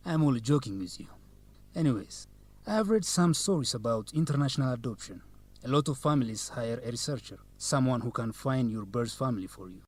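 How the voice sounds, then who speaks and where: A man playing a confident, teasing young law student in a radio drama, courteous and measured in his delivery. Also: medium pitch, expressive, close to an everyday voice. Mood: curious. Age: young adult. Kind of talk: educational radio drama acting.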